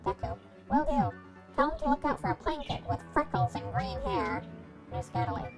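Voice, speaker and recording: A woman's voice: sits high, fairly even intonation, normal speed. A woman giving an amateur story reading, animatedly acting out messages as she goes, with music behind her. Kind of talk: amateur fan fiction reading.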